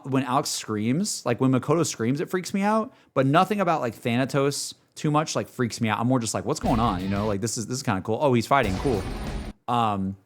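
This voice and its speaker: A man streams casual reactions in an ordinary mid-pitched voice. He talks informally and slangily, circling and softening his opinions. Game music plays behind him.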